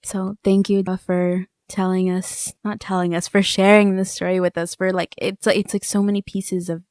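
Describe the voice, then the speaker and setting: A woman's voice: mid-range voice, level tone, normal speed, darkish tone, no echo, clean studio sound. A woman co-hosting a conversational podcast interview, affirming her guest and rephrasing her thoughts as she goes.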